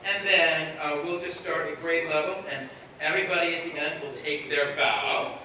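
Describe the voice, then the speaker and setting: A man's voice: on the high side, moderate pace, pushed and bright. A man acting as director and narrator of a children's school play, announcing informally and coaching the children with playful encouragement. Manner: encouraging, playful, gives simple step-by-step instructions to children. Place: large echoey room before an audience. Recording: echoey hall from far off, clear background noise.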